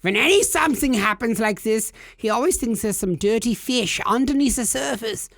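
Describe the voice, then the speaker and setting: A man's voice: extremely high pitch, moderate pace, gravelly, no room sound, studio quality. A man co-hosting a gaming podcast, bantering and spinning absurd jokes with a straight face. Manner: goofy, spins absurd deadpan jokes. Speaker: podcast co-host. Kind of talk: joking casual podcast banter.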